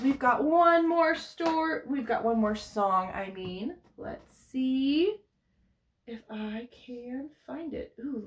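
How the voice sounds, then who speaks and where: High-pitched, unhurried woman leading storytime. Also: a little mellow, highs cut off, slight background noise. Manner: encouraging, exclaiming, simple child-directed speech. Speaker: children's librarian leading a baby storytime. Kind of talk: sing-song picture book read-aloud and coaching for babies and parents.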